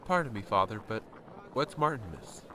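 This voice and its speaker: A man's voice: on the low side, lively intonation, normal speed, close to an everyday voice. An actor playing a weary, wounded American airman, hesitant and plain-spoken, in a family audio drama. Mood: curious. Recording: echoey room, faint background noise.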